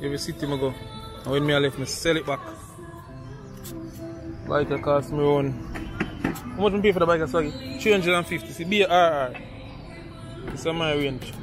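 A man's voice: a little high, moderate pace, long pauses. Chatty young man vlogging from the street. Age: young adult. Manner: chatty, loose and joking, turns lively and loud on the bike. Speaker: travel vlogger. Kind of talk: casual vlog talk to camera. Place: outdoors on a city street and riding a scooter in traffic. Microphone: handheld action camera close to the face. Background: loud music and street traffic.

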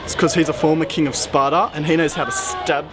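A teenage boy with a slightly high voice jokes his way through answers outdoors. A loud crowd carries on around him. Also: fairly even intonation, brisk, over music. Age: late teens. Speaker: student interviewee.

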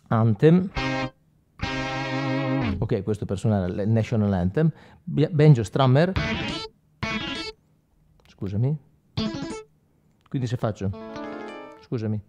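A man's voice: mid-range voice, lo-fi sound. A man in his forties demonstrating gear as a guitar instructor, speaking conversationally straight to the viewer and checking in with short questions. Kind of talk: informal tutorial, explaining while demonstrating. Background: electric guitar playing with effects.

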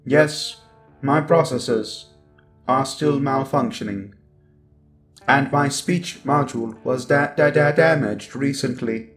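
A man's voice: mid-range voice, takes it slowly, faint music behind, natural voice. A man voicing a sinister, cold and grandiose robot overlord with glitchy, stuttering speech in an ASMR roleplay monologue. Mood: defiant, grandiose.

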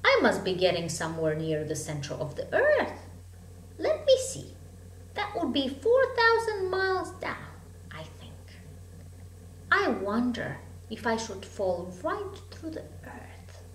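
A woman's voice: on the high side, wildly swooping pitch, takes it slowly, heavily acted. A woman voicing a curious, chatty little storybook girl who muses earnestly to herself. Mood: wondering. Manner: wondering, earnest self-talk. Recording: echoey room, hum and background noise.

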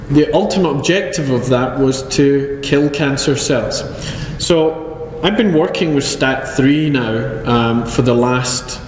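A Scottish man delivers a science lecture in a mid-range voice, dropping in dry jokes at his own expense between frequent ums. His talk rings through a roomy hall with a long echo, and a faint hum of noise sits underneath. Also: mid-range voice. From Scotland. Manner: dry self-deprecating humor, many ums. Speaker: chemistry professor. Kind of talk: conference talk.

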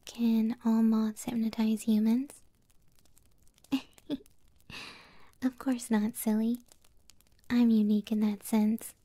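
A woman voicing a possessive, doting young moth-girl girlfriend in an ASMR roleplay, speaking softly, breathily and tenderly, close to the microphone. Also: fairly high pitch, flat delivery, no echo. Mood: tender, wistful. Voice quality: soft, breathy, whispery. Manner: slow, tender, teasing. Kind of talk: ASMR audio roleplay, intimate soft speech.

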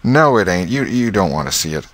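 A man's voice: low voice, big pitch swings, a little fast, fairly bright tone, full character voice. A man voicing a cheeky, folksy American schoolboy in an audiobook, with plain-spoken country manners. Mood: coaxing, coy.

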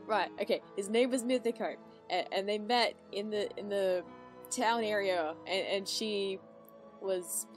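A woman's voice: mid-range voice, unhurried, soft background music. A woman giving casual reaction commentary as a video commentator in voice-over. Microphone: voice-over with background music.

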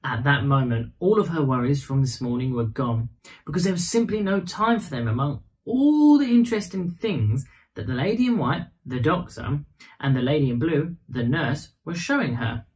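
A man's voice: a little high, very animated, normal speed, low-quality recording. A man in his thirties reading a children's bedtime story expressively. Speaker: storybook reader.

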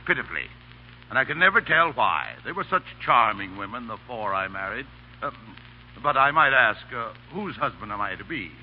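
An actor playing a pompous, florid fake judge, grandiloquent and full of dignified hemming, in a 1930s radio comedy. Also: male voice, medium pitch, very animated, moderate pace, lightly acted. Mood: smug. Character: pompous, florid male con man posing as judge. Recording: muffled, hissy, reverberant 1930s radio broadcast.

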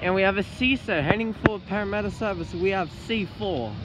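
High-voiced man spotting trains outdoors. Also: a little fast. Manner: rattles off train numbers and stops, self-correcting. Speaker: train vlogger. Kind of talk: casual train-spotting commentary. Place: outdoors at a railway station and footbridge. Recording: loud outdoor noise on phone mic. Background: passing trains and outdoor traffic noise.

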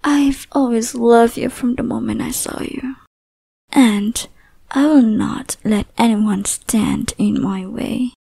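A young woman voicing an obsessive, possessive lover in an ASMR roleplay, whispering softly with teasing menace. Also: on the high side, takes it slowly, no room sound, heavily acted. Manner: soft breathy whispering, teasing and threatening.

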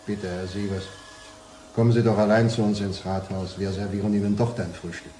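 An actor playing a polite, deferential council messenger in an audio drama, courteous and formal. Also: male voice, fairly low pitch, little pitch movement, fairly quick, warm tone, natural voice. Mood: polite, urging. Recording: roomy echo, faint background noise.

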